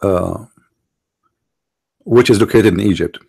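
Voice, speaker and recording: Middle-aged man, Arabic accent, low register, halting commentary host with frequent restarts. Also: gravelly, a little mellow, slightly rough. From Middle East. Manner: halting, repeats and restarts words, stresses points. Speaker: online show host. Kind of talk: monologue commentary.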